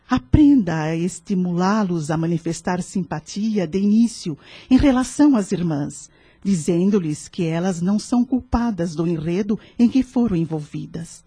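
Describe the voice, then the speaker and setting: A wise, kindly woman offering serene spiritual counsel in a radio drama. Her voice is soft-edged and husky, with a relaxed, mellow tone. She speaks in measured, earnest sentences, close and dry. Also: fairly low pitch, no echo.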